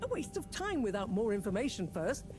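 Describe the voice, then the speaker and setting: An actress playing an impatient, exacting female professor and scientist who talks in a crisp, precise way. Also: medium pitch, big pitch swings, a little fast, loud music behind the voice, natural voice.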